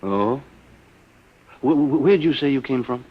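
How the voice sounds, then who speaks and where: A man's voice: medium pitch, expressive, unhurried, breathy edge. An actor playing a harried, grumbling small businessman and husband, hesitant, stammering and stubborn, in old radio drama. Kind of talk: 1950s radio drama acting. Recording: dull vintage radio recording.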